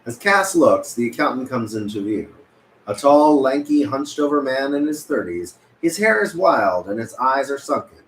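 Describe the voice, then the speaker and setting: A man reads stage directions at a table read in a lively, varied voice. His pitch is middling and moves a lot. The room is echoey, with clear background noise. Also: normal speed. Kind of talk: scripted narration at a table read.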